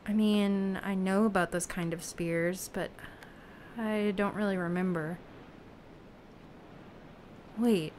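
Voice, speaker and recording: An actress playing a reflective, anguished raft dweller from an ocean world in an audio drama, halting and often hushed. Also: female voice, medium pitch, steady tone, a little hiss or hum, natural voice. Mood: searching, unsure.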